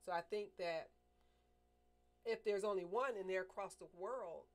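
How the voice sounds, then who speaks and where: A middle-aged woman co-hosting a talk show in a small studio. She speaks in a firm, measured and opinionated way. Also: mid-range voice, faint music behind. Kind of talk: casual group chat. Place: small studio room with a table, indoors. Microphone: desk mic on a stand.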